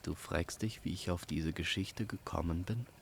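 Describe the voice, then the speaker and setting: A male storyteller narrates a fantasy audio play in a calm, poetic manner. His voice is low and gravelly, and he takes his time over each phrase. Faint ambient noise sits behind him. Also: moderate pace. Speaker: storyteller and host.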